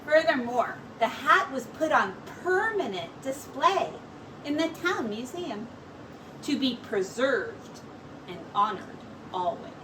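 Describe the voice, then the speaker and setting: The recording is noisy and a little distant. A woman with a high voice reads a storybook slowly and expressively, like a teacher reading aloud. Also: sing-song.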